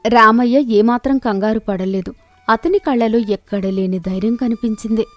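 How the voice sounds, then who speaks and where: A woman narrating a children's moral story in a steady, clear storytelling manner. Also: on the high side, moderate pace, faint music behind.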